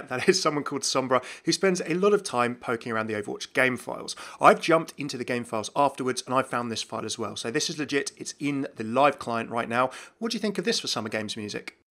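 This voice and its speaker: A man's voice: medium pitch, animated, quick pace, few pauses, pushed and bright. A man giving chatty, upbeat video game news commentary, speculating aloud as he presents.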